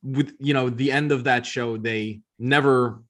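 A male podcast host with a mid-pitched voice chats casually. He speaks informally and shares his opinions freely, as if among friends. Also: steady tone, a little slow, a bit bright. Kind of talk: casual chat.

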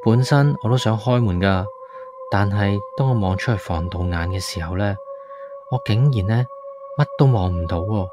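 A man's voice: lowish voice, normal speed, close to an everyday voice. A man playing a frightened tenant, narrating a horror radio drama in an anxious, suspenseful way. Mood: uneasy. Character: frightened male tenant recounting his haunting. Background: background music.

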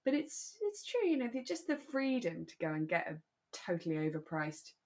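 Casual podcast co-host: woman, lowish pitch, chatty, thoughtful, conversational. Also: big pitch swings, moderate pace, a little mellow. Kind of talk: casual discussion of a radio drama.

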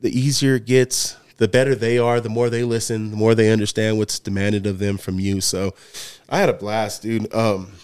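A man co-hosting a casual podcast, talking as a football coach in a relaxed way, dropping "man" and "dude" often. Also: mid-range voice, clear, resonant tone, dry recording. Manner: laid-back, peppers speech with "man" and "dude". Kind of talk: casual chat.

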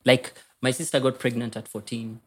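A man with a Kenyan accent and a husky voice, talking candidly as an interview guest, emphatic and often repeating his phrases. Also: medium pitch, level tone, normal speed. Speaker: podcast guest. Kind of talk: candid personal storytelling interview.

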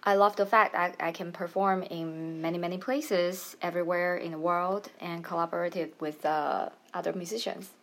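A woman's voice: lowish voice, steady tone, normal speed, few pauses. A young adult woman from Taiwan, a pianist and piano teacher, giving plain, friendly answers as an interview guest.

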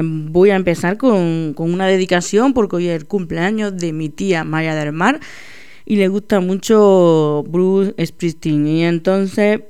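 A woman's voice: fairly low pitch, a little mellow, clean tone. A woman hosting a local radio show in a chatty, laughing, self-deprecating way. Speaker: radio host. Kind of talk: casual local radio chat.

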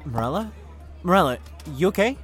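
A man playing an earnest, soft-hearted young man in love, in an audio drama. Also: high voice, big pitch swings, fairly quick, noisy background. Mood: concerned. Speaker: voice actor.

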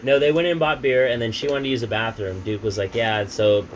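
A man's voice: mid-range voice, fairly even intonation, brisk, a little hiss or hum. A young man co-hosting a casual podcast, telling anecdotes. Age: young adult. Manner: laid-back anecdote teller. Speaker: podcast co-host. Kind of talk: casual chat among friends.

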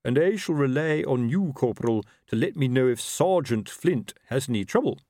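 A man's voice: mid-range voice, expressive, normal speed, warm tone, no echo, studio quality, pitched above the performer's own voice, close to an everyday voice. A man voicing a self-important, pompous and rather dim commanding officer in a storybook.

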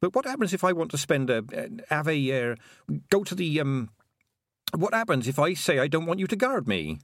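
A man's voice: on the high side, very animated, normal speed, dry recording, clean studio sound, pitched above the performer's own voice. A man voicing an earnest young girl. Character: sharp young farm girl, practical and curious.

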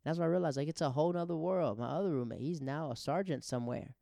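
A man's voice: fairly high pitch, fast talker, creaky, warm tone, no room sound, clean studio sound. A man talking in a casual, conversational monologue as the host of a show, often acting out remarks he quotes. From grew up abroad in the Netherlands and Japan. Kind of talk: casual personal monologue on social issues.

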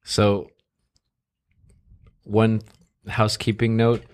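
A man's voice: lowish voice, monotone, extremely slow, smooth. A man co-hosting a podcast, speaking in a dry, teasing, joking way. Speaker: podcast co-host. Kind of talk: casual podcast chat.

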